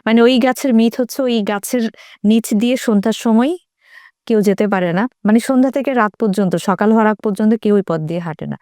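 A woman's voice: mid-range voice, quick pace, a little mellow, dry recording, clean studio sound. A woman with a Bangladeshi accent telling casual anecdotes in a group chat, dropping in English words.

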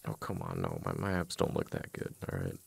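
A man playing a playfully exasperated, affectionate husband in a boyfriend ASMR roleplay, with a deep, husky voice and a halting, casual way of talking. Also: big pitch swings, full character voice. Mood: teasing, affectionate. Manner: halting, casual, muttering with repeated words.

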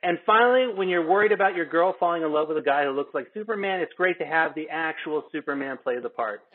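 A man with a husky, fairly high voice acts as co-host and narrator, reading from a script. The audio is tinny and narrow, like a phone line on a remote call. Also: animated, fast.

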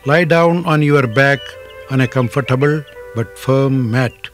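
A man narrating a guided relaxation as a yoga teacher, in measured, deliberate phrases with frequent pauses. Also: medium pitch, soft background music.

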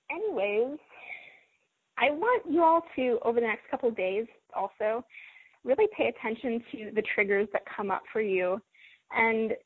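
A woman leads a self-help call in a gentle, encouraging, measured voice. Her voice is a little husky and comes through a thin, tinny phone line with no room sound. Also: mid-range voice, no room sound. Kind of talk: coaching talk.

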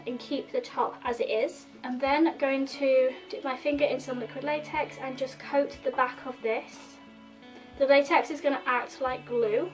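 Woman, 30s, high bright voice, nasal sore throat, chatty explainer, distant mic with faint music. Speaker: makeup vlogger. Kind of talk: casual tutorial talk to camera. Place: indoor room in front of a red plaid backdrop.

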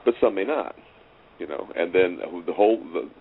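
A middle-aged man with a very low, deep voice talks casually as an interview guest. He repeats phrases for emphasis and tends to chuckle. The sound is narrow and phone-like, with some faint noise. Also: expressive, moderate pace. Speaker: interview guest, audio drama writer and director. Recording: tinny phone-like line, clear noise.

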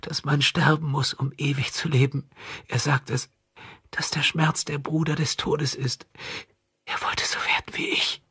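Audiobook role: frightened wounded youth , played by a male reader, high, fragile whisper, halting and full of guilt. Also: little pitch movement, bright, forceful tone, dry recording, studio quality, exaggerated voice. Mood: anguished, fearful. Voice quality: breathy, trembling whisper. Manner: halting, repeats words in distress. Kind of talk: audiobook character dialogue.

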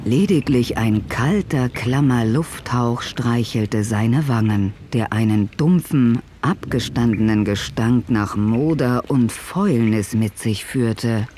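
Slow, measured male storyteller. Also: mid-range voice, dark tone, slight background noise. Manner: measured, literary. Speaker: narrator. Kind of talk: scripted dramatic narration.

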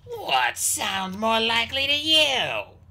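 A woman's voice: medium pitch, big pitch swings, normal speed, sharp tone, voice pushed up, full character voice. A woman voicing a hostile, suspicious male dragon who snarls accusations in a storybook reading. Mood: scornful.

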